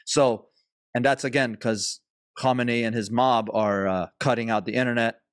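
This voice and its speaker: A man hosts a casual podcast, loose and animated, starting sentences over and swearing now and then. His voice sits at an ordinary pitch. The sound is dry, with no room around it. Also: moderate pace, no echo. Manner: animated, swearing, restarts often. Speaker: podcast host.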